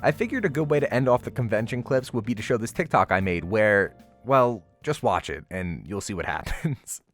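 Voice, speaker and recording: Animated man doing wry vlog voice-over. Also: fairly low pitch, normal speed, faint music behind. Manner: wry, slangy, playfully exaggerating. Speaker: vlogger narrating a convention walkthrough. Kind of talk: casual voice-over commentary.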